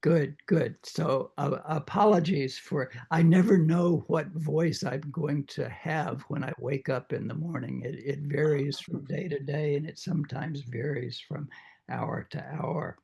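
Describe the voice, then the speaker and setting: An older man with a croaky voice after throat surgery, lecturing informally to a reading group and pausing often with many uhs and restarts. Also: on the high side, darkish tone. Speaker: literature professor leading a reading group.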